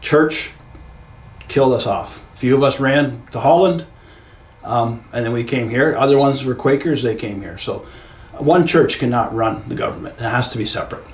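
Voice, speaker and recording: An older man from Canada with a Canadian accent, giving plainspoken, blunt and somewhat halting answers as a local council candidate. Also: medium pitch. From Norwich, Ontario, Canada. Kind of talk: informal interview answers. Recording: distant, echoey, noisy room sound.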